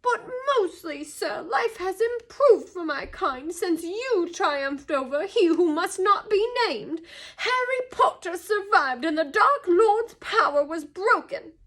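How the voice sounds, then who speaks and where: A woman's voice: extremely high pitch, animated, takes it slowly, a bit bright, pitched above the performer's own voice, full character voice. A woman voicing a small male house elf for a storybook, servile, fearful and anguished, squealing and moaning as he pleads. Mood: tearful, reverent. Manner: pleading, stammering, tearfully dramatic.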